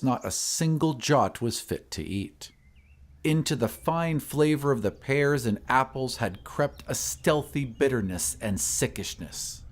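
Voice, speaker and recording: A man reading a classic horror story as scripted narration, in a measured, literary storytelling manner. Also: medium pitch. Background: ambient sound effects.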